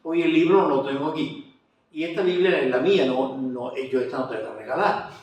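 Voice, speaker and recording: A man with an Andalusian Spanish accent who hosts an evangelical program, talking at a fairly high pitch in a chatty, affirming way. He sounds a little distant from the mic in a very echoey room. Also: brisk. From Spain. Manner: warm, chatty, with frequent amens. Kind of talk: evangelical TV interview.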